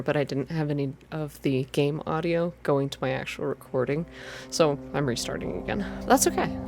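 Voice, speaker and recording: Low-pitched woman, intimate ASMR game reading. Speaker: host of a game playthrough. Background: game music.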